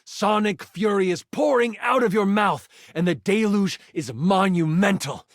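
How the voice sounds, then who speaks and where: A man voicing an excitable twenty-sided die in a video game, narrating a fantasy tabletop adventure as a dramatic, expressive game master. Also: high-pitched, measured pace, dry recording, clean studio sound. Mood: dramatic, suspenseful. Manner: theatrical, expressive storytelling with sighs and asides.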